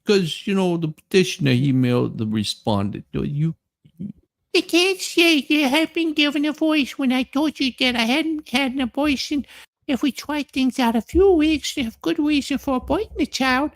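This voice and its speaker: A woman's voice: high-pitched, expressive, normal speed, rough recording. A woman voicing a folksy, sassy Southern courtroom witness in a theatrical, stammering comedic reading. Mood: mock-stern.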